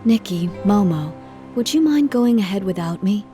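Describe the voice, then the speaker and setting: A woman playing a courteous, reflective, dignified travelling performer in a video game, with measured formal delivery. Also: medium pitch, expressive, warm tone, close to an everyday voice. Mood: gracious. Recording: music under the voice.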